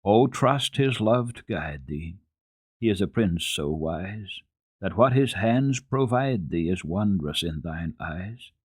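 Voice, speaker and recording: An earnest, trusting little girl from a children's storybook, acted out by a man, speaking with simple, solemn assurance. The voice is husky and recorded very dry, with little room around it. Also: low voice, animated, takes it slowly, no echo, heavily acted. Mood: solemn, reciting.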